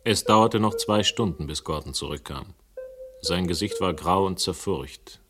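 A world-weary man narrating a radio crime story, in a very low voice over music. His delivery is laconic, literary and dryly ironic. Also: measured pace. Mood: reflective, dry. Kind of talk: scripted radio drama narration.

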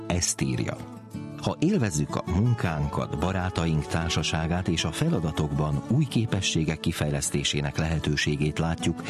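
Very deep male narrator over music. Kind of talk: scripted narration with read quotations. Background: guitar music.

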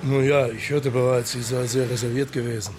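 Actor as a sober, measured police investigator. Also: male voice, mid-range voice, steady tone, background noise, lightly acted. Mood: probing. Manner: measured, matter-of-fact, probing. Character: sober, reserved male Italian police marshal investigating. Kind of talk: German radio crime drama acting.

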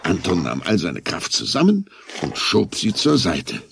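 A man's voice: low voice, wildly swooping pitch, measured pace, gravelly, very noisy. A man narrating a scripted children's story as the narrator of a radio play.

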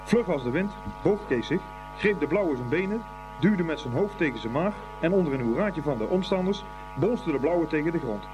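A man's voice: on the high side, expressive, normal speed, highs cut off. A man narrating a scripted story as the narrator of a radio play. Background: music.

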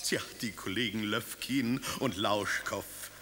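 An actor playing a servile, scheming courtier who speaks slowly and floridly in a radio drama. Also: male voice, lowish voice, background noise. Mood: unctuous. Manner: slow, flowery and servile. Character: fawning, scheming male leader of the seven sages.

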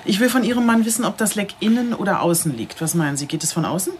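Quick-talking, sardonic actress as female detective. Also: medium pitch, lively intonation, a little hiss or hum, close to an everyday voice. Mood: businesslike. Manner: dry, sarcastic, impatient. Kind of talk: radio drama dialogue.

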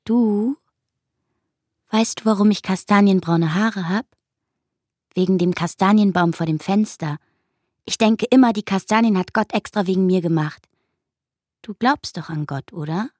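A woman plays a dreamy little girl in a radio drama, naive and intimate. Her voice is husky and breathy, unhurried, and recorded very dry and close. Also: mid-range voice, a little mellow, no echo, natural voice. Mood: coaxing, intimate. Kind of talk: German radio play acting.

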